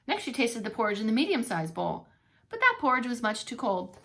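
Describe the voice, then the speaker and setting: Female preschool story reader, higher-pitched and bright, expressive delivery for little listeners. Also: a little fast. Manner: animated, with playful asides to young listeners. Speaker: preschool teacher reading a story aloud. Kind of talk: expressive children's read-along narration.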